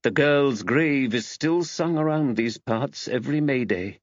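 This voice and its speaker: A man's voice: medium pitch, unhurried, dry recording, lo-fi sound. A man reading a mystery novel as scripted audiobook narration.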